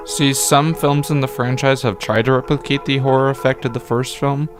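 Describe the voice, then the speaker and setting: A man narrating a scripted video essay review as the channel's host, with emphatic, wordy phrasing that leans on repeated words. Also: fairly low pitch. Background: background music.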